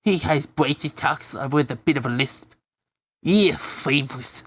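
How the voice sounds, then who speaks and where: A man's voice: on the high side, steady tone, moderate pace, fairly bright tone, no room sound. A man reading a story aloud casually as a fan fiction narrator, dropping in his own offhand asides.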